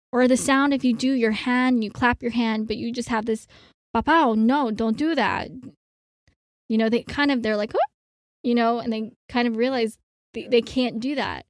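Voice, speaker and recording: A woman's voice: fairly high pitch, darkish tone, dry recording. Woman in her thirties, casual co-host, talks in loose run-on stretches, close dry mic. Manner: chatty, rambling with many fillers. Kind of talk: casual chat.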